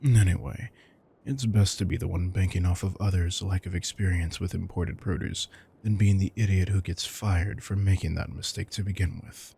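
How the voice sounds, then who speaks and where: A man playing a retired supervillain turned caring, thrifty house husband in an intimate audio roleplay, smug, dry and affectionate, with a husky, gravelly voice. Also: deep voice, fairly even intonation, moderate pace, dark tone, exaggerated voice. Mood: dry, wry.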